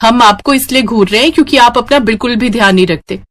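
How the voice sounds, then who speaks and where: A woman's voice: on the high side, quick pace, a bit bright, lightly acted. A woman voicing a caring, affectionate young sister who scolds fondly and expressively in a dramatized family story. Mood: fondly scolding.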